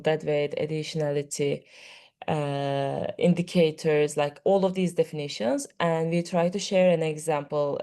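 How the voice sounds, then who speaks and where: A woman's voice: sits low, dark tone. A woman whose first language is Turkish, giving a conversational conference presentation with frequent fillers. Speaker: workshop presenter.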